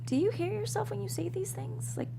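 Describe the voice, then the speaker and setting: A woman's voice: extremely high pitch, steady tone, brisk, creaky, full character voice. A woman playing an ambitious, scheming young political intern in a comedy table read, earnest, emphatic and urgent. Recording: noisy room with hum.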